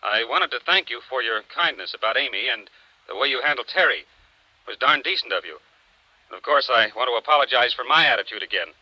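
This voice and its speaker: A man's voice: medium pitch, animated, a little fast, bright, forceful tone, no echo. A man acting an earnest, apologetic character in a 1950s radio drama. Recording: dull, dry vintage radio recording.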